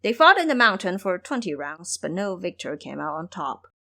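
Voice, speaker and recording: A woman's voice: on the low side, sing-song, moderate pace, pushed and bright. A woman narrating a fantasy audio drama as a scripted, dramatic storyteller.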